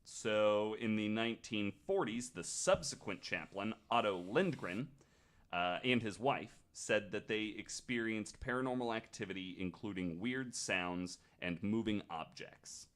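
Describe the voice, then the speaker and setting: Low-voiced man telling ghost stories. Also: lively intonation, unhurried, a bit bright. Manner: joking, casual swearing. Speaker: podcast host. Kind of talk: casual retelling of ghost stories from notes.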